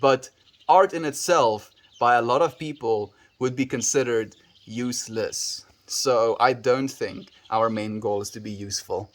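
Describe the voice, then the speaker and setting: A man's voice: mid-range voice, unhurried. A man with a South African accent, streaming live and chatting casually with viewers, easygoing and full of dude and bro. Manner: easygoing, calls viewers dude and bro, trails off mid-sentence. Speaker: livestreamer. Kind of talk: casual livestream chat answering viewers.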